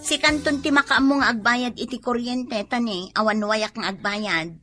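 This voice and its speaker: A woman's voice: fairly high pitch, moderate pace, bright, forceful tone, soft background music. A woman with an Ilocano accent acting an assertive, fed-up working wife in a radio drama. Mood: bossy.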